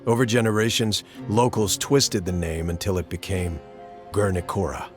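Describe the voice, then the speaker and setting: A man voices a weary mercenary monster hunter from a fantasy game. His voice sits fairly low, with blunt, colloquial, matter-of-fact phrasing. Music runs underneath. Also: normal speed, close to an everyday voice. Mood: blunt, sardonic. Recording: background music under the dialogue.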